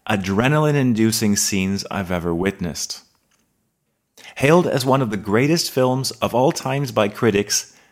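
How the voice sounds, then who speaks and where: Low-voiced man narrating an English podcast. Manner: measured, articulate, precise delivery. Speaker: podcast host teaching advanced English.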